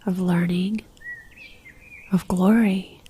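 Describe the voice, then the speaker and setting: Breathy, slow-paced woman guiding meditation. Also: lowish voice. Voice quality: soft, breathy, near-whispered. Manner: gentle, calm, unhurried with long pauses. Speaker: meditation guide. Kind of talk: guided religious meditation.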